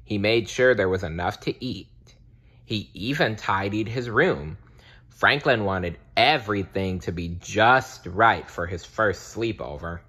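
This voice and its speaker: A man narrating a children's storybook aloud in a deliberate, expressive read-aloud style. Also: on the low side, breathy edge. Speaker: storybook reader.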